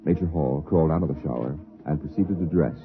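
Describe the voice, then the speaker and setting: A man narrating a scripted 1950s radio drama in a formal, deliberate storytelling manner. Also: a bass voice, gravelly. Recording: tinny, phone-like old radio, music behind.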